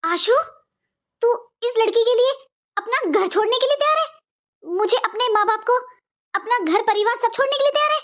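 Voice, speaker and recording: A woman's voice: extremely high pitch, animated, normal speed, fairly bright tone. A woman voicing an older mother-in-law in a cartoon family melodrama, speaking emphatically and repeating herself. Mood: shocked, hurt. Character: distressed older mother-in-law, stern then pleading for family peace.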